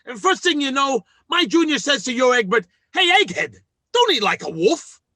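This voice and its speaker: An actor playing a scheming, self-important working-class husband in an old-time radio sitcom, with blustery, folksy speech. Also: male voice, very high-pitched, big pitch swings, moderate pace, sharp tone, dry recording, clean studio sound, exaggerated voice. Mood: awkwardly persuasive.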